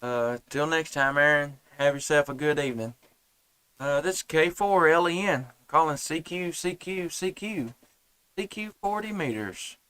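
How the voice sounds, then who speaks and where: A man's voice: on the high side, moderate pace, bright, forceful tone, clear, resonant tone, no echo. A man, laid-back and folksy, chatting casually as a ham radio operator and live streamer. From United States. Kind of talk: casual amateur radio chat. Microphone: headset and boom microphones.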